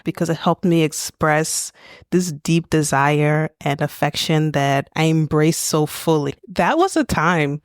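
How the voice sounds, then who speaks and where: Low-voiced woman co-host with a smooth, resonant tone, slow and soft-spoken. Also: no room sound, clean studio sound. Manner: soft-spoken, reflective, lingers on words. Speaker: podcast co-host. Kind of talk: reflective conversation.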